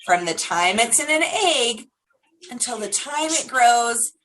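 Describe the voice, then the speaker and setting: A woman with a high, very lively voice hosts storytime for young children. She takes her time and stretches key words, using simple, animated phrasing. Her tone is bright throughout. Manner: animated, simple child-directed delivery with drawn-out emphasis. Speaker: children's storytime host and teacher.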